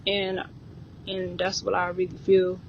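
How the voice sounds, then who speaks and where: A woman's voice: on the low side, monotone, unhurried, muffled recording, crowd noise. A woman giving her opinion in an online audio chat, hesitant and full of fillers. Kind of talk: casual chat.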